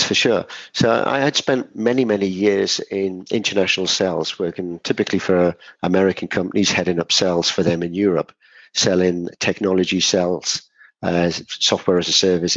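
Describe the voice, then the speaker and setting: A middle-aged man from Scotland speaks in a very low, gravelly voice, answering interview questions at length. He strings thoughts together with "so" and "kind of", restarting now and then. The sound is dry and a little muffled. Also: fairly bright tone, dry recording. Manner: explains at length with frequent "so" and "kind of", some restarts. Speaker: guest, business growth consultant.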